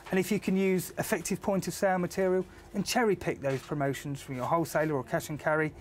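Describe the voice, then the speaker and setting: A British man speaks as an adviser, explaining points clearly in an interview style. His voice sits a little higher than most men's. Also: fairly even intonation, quick pace, a little hiss or hum. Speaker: retail adviser.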